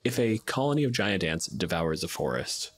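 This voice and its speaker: A man's voice: low voice, sing-song, normal speed. A man hosting a podcast, talking casually and bluntly in informal gamer chat. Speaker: podcast host. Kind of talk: casual discussion of tabletop gaming.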